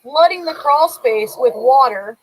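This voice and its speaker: A woman's voice: high-pitched, lively intonation, a little slow, a little hiss or hum, poor audio. A woman co-hosting a casual livestream, reading a true-crime account aloud and laughing often while she greets viewers. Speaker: co-host.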